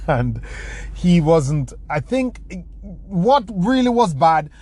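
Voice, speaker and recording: A man's voice: high voice, very animated, drawn-out words, a little mellow. A man with a Jamaican accent from the rural countryside, giving a motivational talk and telling stories, with frequent restarts and repeated words. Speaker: motivational speaker. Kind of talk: motivational talk answering a question.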